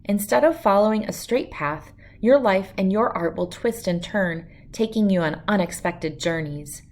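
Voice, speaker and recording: A woman reading a children's picture book aloud as a first-person story, with expressive, clearly phrased narration. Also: mid-range voice, normal speed, slight background noise.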